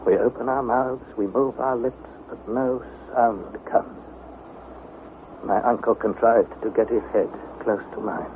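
A man's voice: medium pitch, fairly even intonation, unhurried, natural voice. A man playing an anxious young nephew who narrates a perilous sea voyage as a dramatised journal, in a theatrical, intense manner. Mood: weary, grave. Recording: tinny narrow band, heavy background noise.

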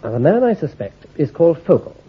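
An actor playing a shrewd army intelligence commander in a radio drama, issuing careful orders in a clipped, authoritative way. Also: male voice, on the high side, sing-song, fast talker, breathy edge, exaggerated voice. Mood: commanding. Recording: tinny, phone-like old recording.

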